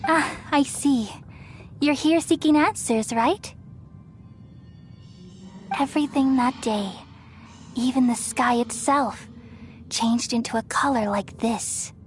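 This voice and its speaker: A serene, ancient goddess of wisdom, speaking in a measured, soothing way. Her voice sits a little high and stays gentle, with faint game music underneath. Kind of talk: video game cutscene dialogue. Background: game score music.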